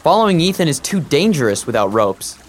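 A woman doing a cautious, lower teenage boy. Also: sing-song, a little fast, a little hiss or hum.

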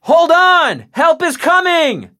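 A brave young male river guide yelling for help in a storybook, played by a woman pitching her voice well up and loud. His calls are urgent and strained. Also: sing-song, slow, full character voice. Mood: shouting urgently. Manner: shouting, urgent.

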